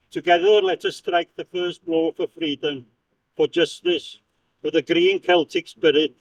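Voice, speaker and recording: A man's voice: a little high, creaky, dry recording, far from the mic, dull audio. Man declaiming a speech from afar. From Wales. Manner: halting, slow and declamatory, with stumbles and restarts. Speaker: speaker at a commemoration. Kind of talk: reading a prepared speech aloud.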